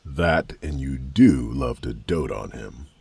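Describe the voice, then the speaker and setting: A man playing a gruff, teasing royal knight in a fantasy audio drama, with a gravelly, raspy voice. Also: rumbling low, lively intonation, slow pace, darkish tone, dry recording, exaggerated voice. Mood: teasing, impatient.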